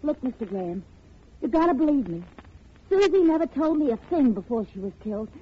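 A woman's voice: high voice, sing-song, fairly quick, breathy edge, heavily acted. An actress playing a frightened, slangy, sassy young woman in a 1940s radio crime drama, with an emphatic, pleading way of talking. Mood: anxious, pleading. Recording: tinny, noisy old radio recording.

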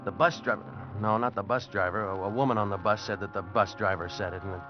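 A man's voice: fairly low pitch, steady tone, quick pace, over music, natural voice. An actor playing a desperate American man on the run, telling and acting out his ordeal intensely in an old radio drama. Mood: bitter, tormented. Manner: intense, dramatic, agitated pleading. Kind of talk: 1940s-50s radio drama narration and acting. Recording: muffled old radio recording.

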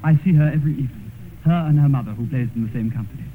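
An actor playing a naive, petulant young gentleman in love in an old radio drama, earnest, intense and theatrical. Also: mid-range voice, rapid-fire, natural voice. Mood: rapturous. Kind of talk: 1950s radio drama acting. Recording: muffled, hissy old radio broadcast.

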